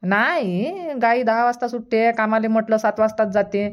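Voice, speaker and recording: A woman's voice: on the high side, steady tone, moderate pace, dull audio, close to an everyday voice. A woman playing a practical elderly village mother in a cartoon comedy, plain-spoken in rural Vidarbha Marathi. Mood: declining, explaining. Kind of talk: cartoon comedy dub dialogue.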